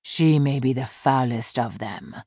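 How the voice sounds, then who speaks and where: A woman's voice: a bass voice, unhurried, darkish tone, husky, no room sound, clean studio sound, voice pushed down, full character voice. A woman voicing a surly, contemptuous fae man who speaks in curt, blunt lines.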